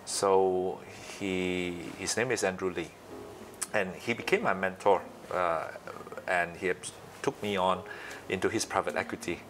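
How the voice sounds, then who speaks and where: A man in his late thirties with a Malaysian accent, speaking haltingly and pausing often in a conversational interview as the guest. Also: sits low, fairly even intonation, a bit bright, a little hiss or hum, low-quality recording. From Malaysia, east coast. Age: late 30s.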